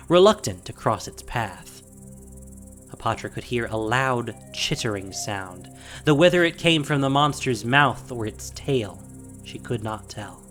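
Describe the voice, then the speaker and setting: A man with a mid-pitched voice narrates dramatic fantasy as a scripted story. Faint music and insect sounds sit behind him. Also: fairly bright tone.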